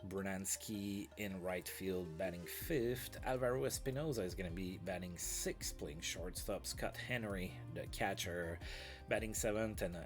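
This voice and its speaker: A man with a stuffy, allergy-blocked voice, casually chatting and calling plays as host of a baseball management game stream. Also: on the low side. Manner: chatty, fillers, play-by-play calls. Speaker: let's play host. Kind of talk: casual baseball sim commentary. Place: home streaming setup.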